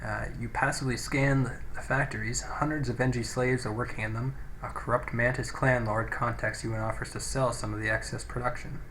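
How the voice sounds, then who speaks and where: Man, let's play host, medium pitch, casual sweary gameplay commentary, thinking aloud, faint noise, poor home mic. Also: steady tone, fairly quick, fairly bright tone. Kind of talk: casual gameplay commentary with read-out game text. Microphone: low-quality home microphone. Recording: noisy cheap microphone, poor audio.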